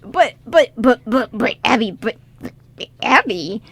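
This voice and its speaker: A woman playing an earnest, excitable teenage boy who gushes and stammers in an amateur radio drama reading. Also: fairly high pitch, measured pace, husky, dry recording, exaggerated voice. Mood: stunned, elated. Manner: stammering, gushing, rambling.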